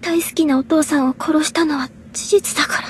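Woman as a very high, fragile anime girl. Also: steady tone, moderate pace, a little hiss or hum, natural voice. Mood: anguished. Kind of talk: anime game voice acting.

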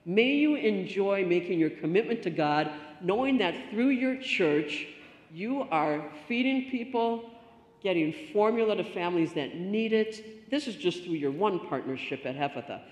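A middle-aged woman pastor giving a stewardship talk from the lectern, telling a story with lively gestures. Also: mid-range voice, normal speed. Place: church sanctuary. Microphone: stand mic at lectern. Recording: echoey church hall reverb.

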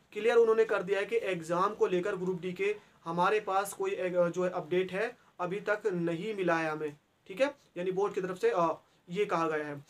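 Earnest young man with a high voice. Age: young adult. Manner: earnest, repetitive, many filler pauses. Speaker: exam-news channel host. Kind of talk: explanatory talk to camera. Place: small indoor room by a brick wall.

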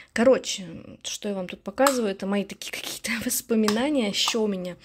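Female beauty vlogger, mid-range voice, relaxed wandering chat with a nostalgic, talkative manner. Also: mid-range voice. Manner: chatty, nostalgic, self-correcting. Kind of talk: casual rambling chat. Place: indoors at home, at a dressing table.

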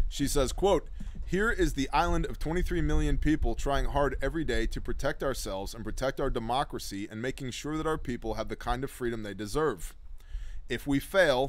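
A middle-aged man hosting a preparedness show, reading news articles aloud and breaking in with blunt, sarcastic remarks, recorded on a desk webcam. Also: medium pitch. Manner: sardonic, blunt asides between read passages.

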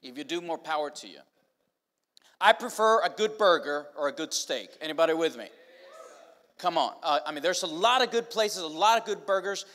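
Middle-aged Mexican-accented pastor, slightly high voice, chatty and joking, long hall reverb. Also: male voice, animated, brisk, a bit bright. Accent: Mexican Spanish. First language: Spanish. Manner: chatty, humorous, many restarts and fillers. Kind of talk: casual sermon to college students. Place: large stage of a church or college auditorium. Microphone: handheld mic.

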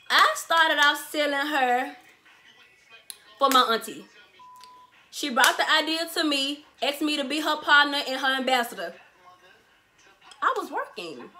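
A twenty-year-old woman from Memphis with a Southern accent, talking straight to camera in an animated, slangy way and repeating herself for emphasis. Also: high voice. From Memphis, Tennessee. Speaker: vlogger, small business owner. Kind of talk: casual Q&A vlog to camera. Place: bedroom, sitting on a bed, indoors.

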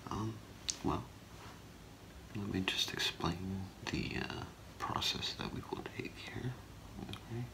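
A middle-aged man doing a whispered ASMR role play as a gemologist, speaking in a hesitant, halting, softly reassuring way. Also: low-pitched, gravelly, rough recording. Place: small indoor room with curtain.

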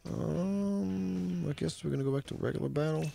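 A young man with a very deep voice casually commenting on his game, laid-back and swearing a lot. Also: moderate pace, gravelly, dark tone, soft background music. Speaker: game streamer. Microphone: studio mic with bass roll-off.

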